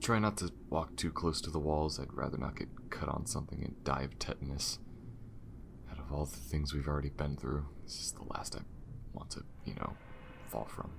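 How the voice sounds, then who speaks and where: A man's voice: fairly even intonation, moderate pace, background noise, full character voice. A man voicing an injured, wary alien spacefarer in an ASMR audio roleplay, speaking in a hesitant, casual mutter with a wry edge. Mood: wary, uneasy. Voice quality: very deep, gravelly. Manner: hesitant, casual, muttering with sighs and half-whispers. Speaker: voice actor. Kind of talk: ASMR audio roleplay, one side of a conversation.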